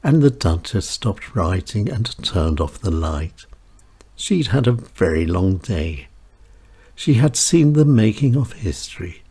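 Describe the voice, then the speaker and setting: A man reading his own scripted prose as a narrator, in a measured, deliberate way with short dramatic phrases and a husky voice. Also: low-pitched, dark tone. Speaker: author reading own short stories and essays. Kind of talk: scripted narration, spoken essay and story.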